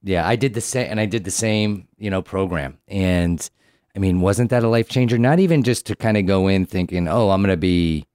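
A man's voice: low-pitched, darkish tone, dry recording, clean studio sound. A man hosting an interview, drawing out his guest with encouraging mm-hmms and loose, restarting questions. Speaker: podcast host. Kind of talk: interview host.

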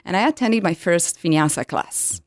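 A woman in her thirties from Italy with an Italian accent, a yoga teacher telling her story in an interview, pausing often to find words in English. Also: lowish voice, very animated, no echo, studio quality. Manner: hesitant, searching for English words with many ums.

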